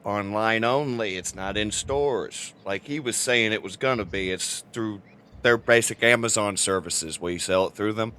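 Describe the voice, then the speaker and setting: A man's voice: on the low side, moderate pace, sharp tone, slight background noise. A man co-hosting a livestream, explaining things in casual, conversational talk. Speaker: livestream co-host and guest reviewer.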